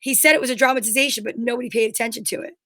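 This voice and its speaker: A woman with a high voice chats informally as a co-host, close and dry in sound. Her delivery is conversational and agreeable. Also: steady tone, very fast, bright, forceful tone, dry recording. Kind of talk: casual chat, reading out viewer comments.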